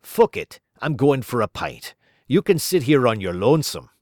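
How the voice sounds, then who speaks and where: A man's voice: mid-range voice, big pitch swings, moderate pace, darkish tone, no room sound, clean studio sound. Man doing a wheedling, shifty cop. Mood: mocking. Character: shifty lazy male cop, cajoling.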